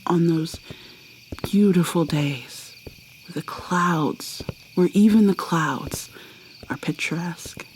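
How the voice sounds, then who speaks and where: A woman playing an ancient vampire mermaid in an ASMR roleplay, whispering softly and haltingly with a wistful manner. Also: on the low side, slight background noise. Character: ancient female vampire mermaid, guarded and sorrowful.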